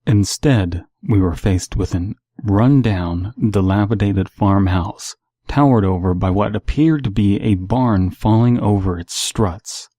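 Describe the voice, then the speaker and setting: A man's voice: lowish voice, dark tone, no room sound, clean studio sound. A man reading stories aloud and giving casual, analytical commentary as the host.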